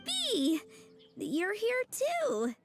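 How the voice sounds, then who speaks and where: A woman's voice: high-pitched, big pitch swings, moderate pace. A woman voicing a cheerful young flower-shop girl in an anime game, girlish, sweet and polite. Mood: polite, surprised. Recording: music behind the voice.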